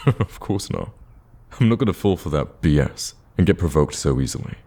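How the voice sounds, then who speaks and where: An eager villain in love, acted by a man for a romantic ASMR roleplay. His voice is extremely low, dark and mellow, with a husky rasp. He talks in a casual, conversational way and gets flustered easily around his date. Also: animated, normal speed. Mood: earnest, reassuring.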